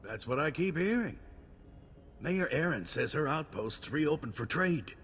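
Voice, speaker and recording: An actor playing an earnest frontier ally in a video game, heartfelt and encouraging. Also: male voice, a little high, lively intonation, unhurried, a bit bright. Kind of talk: video game character dialogue. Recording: long reverb, faint noise.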